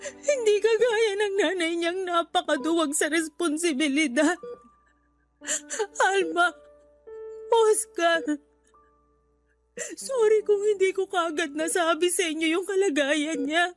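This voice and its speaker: A woman's voice: very high voice, takes it slowly, long pauses, soft background music. A woman acting a tearful, guilt-ridden mother in a radio drama, her voice breaking with crying. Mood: tearful, remorseful.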